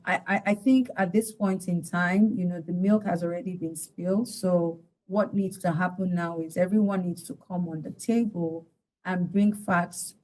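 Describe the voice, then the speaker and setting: A Nigerian woman giving explanatory interview commentary as an analyst, deliberate and pausing mid-sentence. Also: on the low side, darkish tone, low-quality recording. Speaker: studio guest analyst.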